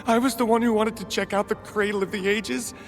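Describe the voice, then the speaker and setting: An actor playing an anguished, guilt-ridden rescue worker in a video game, speaking haltingly. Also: male voice, high voice, steady tone. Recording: faint music behind the voice.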